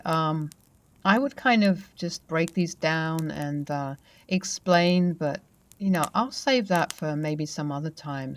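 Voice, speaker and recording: A young woman with a fairly low voice narrates a true ghost story from her own childhood. She tells it steadily in the first person and lets small fillers slip in along the way. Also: darkish tone.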